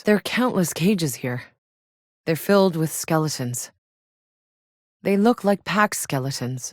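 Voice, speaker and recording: A woman's voice: deep voice, a little mellow, no echo, clean studio sound. An actress playing a tough, sardonic female soldier and explorer in a sci-fi video game, blunt and matter-of-fact. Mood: grim, determined. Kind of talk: scripted video game character voice lines.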